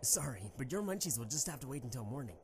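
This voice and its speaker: A woman's voice: extremely low pitch, sing-song, fast. A woman playing a young pony prince and fond husband, a protective worrier, conversational and earnest in an amateur audio drama. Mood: fond, teasing. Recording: slightly noisy amateur microphone recording.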